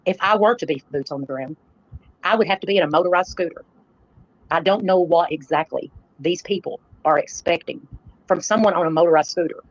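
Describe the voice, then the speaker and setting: A woman's voice: fairly low pitch, brisk. Earnest woman streamer, dull lo-fi sound. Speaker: live stream host. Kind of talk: livestream address to viewers. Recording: dull, lo-fi livestream playback.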